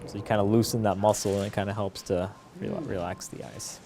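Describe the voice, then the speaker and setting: A man's voice: lowish voice, fairly even intonation, quick pace, vocal fry. A man speaking as a surgeon, explaining things informally, with noise behind him. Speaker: eye surgeon.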